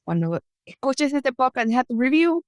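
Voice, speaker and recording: A woman hosting a podcast, talking in a lively, chatty way as she switches back and forth between Spanish and English. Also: high-pitched, a little fast, no room sound, clean studio sound. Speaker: podcast host. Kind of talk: casual interview, mixing Spanish and English.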